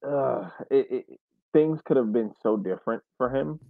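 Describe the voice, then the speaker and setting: A man co-hosting a casual sports podcast, speaking in a halting, measured way and repeating words, over thin call audio. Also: medium pitch, creaky, no room sound, narrow, tinny audio. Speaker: podcast co-host.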